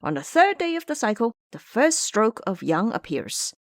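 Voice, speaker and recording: Audio drama monkey disciple, male, played by a woman: clever, emphatic lecturer, swooping and animated. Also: a little high, normal speed, dry recording. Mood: earnest teaching. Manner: didactic, emphatic.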